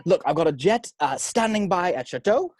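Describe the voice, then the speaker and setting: Man playing a sprightly, husky old tycoon. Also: high-pitched, animated, normal speed, darkish tone, dry recording, clean studio sound. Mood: persuasive. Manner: jovial, showy, theatrical. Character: sprightly seventy-year-old male tycoon, charming and brash. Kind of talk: table read of a film script.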